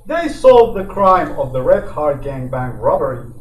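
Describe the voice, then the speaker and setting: A man's voice: fairly high pitch, big pitch swings, unhurried. A man playing a showy, gracious Latin bandleader making a ceremonious stage announcement in an old-time radio drama. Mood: grand, celebratory. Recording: echoey room, distant, faint noise.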